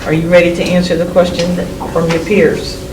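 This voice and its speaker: A woman's voice: on the low side, monotone, moderate pace. A female college instructor leading a class discussion, giving brief prompts and calling on students by name. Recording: echoey classroom from far off with noise.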